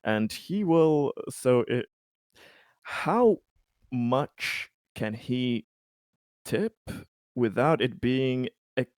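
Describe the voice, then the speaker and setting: A man playing a tabletop roleplaying game, improvising in character and out, with halting, stammering delivery. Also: medium pitch, expressive, darkish tone, no room sound, clean studio sound.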